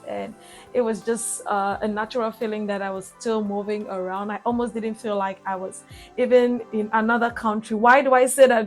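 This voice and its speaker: Cameroonian woman vlogger, soft music behind. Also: on the high side. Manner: chatty, pauses and restarts with fillers. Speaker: travel vlogger. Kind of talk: casual travel recap.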